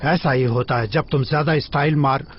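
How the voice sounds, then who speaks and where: An actor playing a kung fu master in a cartoon dub, an expressive mentor who instructs his pupil. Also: medium pitch, moderate pace, slight background noise, close to an everyday voice. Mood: instructing. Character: male kung fu master, lecturing mentor to the hero. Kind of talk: dubbed cartoon acting. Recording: muffled lo-fi dub with loud music.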